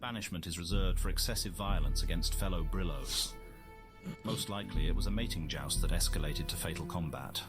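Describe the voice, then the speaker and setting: A man reading a visual novel aloud as scripted narration, in a measured, documentary-style storytelling manner. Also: low-pitched, loud music behind the voice. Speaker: video game playthrough host.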